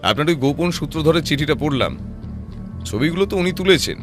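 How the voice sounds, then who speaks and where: A man playing a composed, sharp-witted private detective in an audio drama, reasoning in a measured voice with rhetorical questions. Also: on the high side, lively intonation, close to an everyday voice. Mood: calm, explaining. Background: background music.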